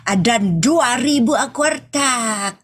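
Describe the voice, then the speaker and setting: A woman acts out a grasping poor village woman with an Ilocano accent in a comedy audio drama. Her voice sits fairly high with a husky edge, and she pleads and whines at an unhurried pace. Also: expressive, fairly bright tone, full character voice. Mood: delighted, grateful.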